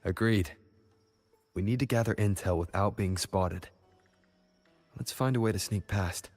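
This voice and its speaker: A composed adventurer from a video game. His voice is on the low side, and he talks in a measured, matter-of-fact manner. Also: darkish tone, soft background music. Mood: calm, focused. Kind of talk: video game character acting.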